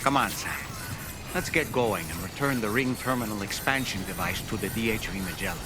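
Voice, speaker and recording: A man's voice: on the low side, brisk, close to an everyday voice. An actor playing an articulate, slightly formal male companion to a hero in a video game. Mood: decisive. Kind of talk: video game cutscene acting. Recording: very loud music behind. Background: loud music.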